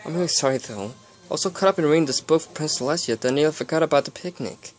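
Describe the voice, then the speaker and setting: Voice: mid-range voice, racing, voice pushed up, lightly acted. A reader voicing a studious, earnest young female pony princess in a storybook, explaining carefully. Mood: apologetic.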